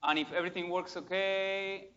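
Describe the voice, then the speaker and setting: A man's voice: high-pitched, steady tone, moderate pace, sharp tone, highs cut off. A man giving a casual, enthusiastic live demo at a tech meetup, with many fillers and restarts. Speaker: conference speaker.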